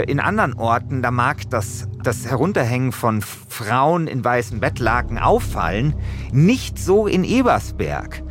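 A man speaks off the cuff in a mid-range voice, rambling colloquially as he explains things like a podcast co-host. Faint music sits behind his talk. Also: mid-range voice. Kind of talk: casual, unscripted chat and explaining.

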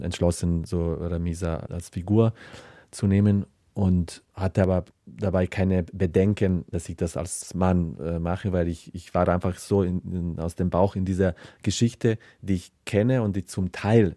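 A man's voice: deep voice, steady tone, moderate pace, warm tone, no room sound, studio quality. A middle-aged man from the former Yugoslavia, a writer talking reflectively in an interview in his study. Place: small study, dry sound.

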